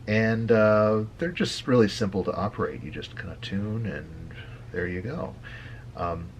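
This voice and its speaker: A man giving a casual tutorial as a hobby video host, talking haltingly with frequent hesitant pauses. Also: fairly low pitch, a little hiss or hum. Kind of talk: casual screen-share tutorial.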